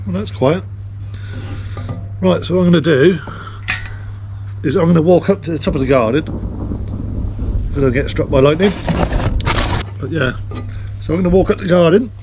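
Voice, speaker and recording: A man's voice: fairly high pitch, moderate pace, pauses often, music in the background. A man giving a casual, informal unboxing commentary in an off-the-cuff way. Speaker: video host reviewing a product.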